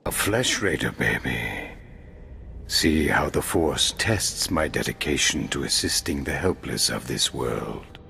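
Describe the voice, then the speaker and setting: A man playing a solemn, dutiful mentor in a video game, speaking gravely and deliberately. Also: rumbling low, expressive, bright, forceful tone, slight background noise, heavily acted. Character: solemn, dutiful male mentor of a warrior order.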